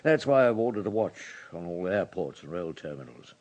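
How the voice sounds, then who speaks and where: An actor in a radio drama playing a police superintendent with a commanding, probing manner. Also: male voice, low-pitched, very animated, normal speed, a little mellow. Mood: probing, measured. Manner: commanding, questioning, hesitant murmurs between orders. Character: authoritative male French police superintendent, brisk and probing. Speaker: detective superintendent.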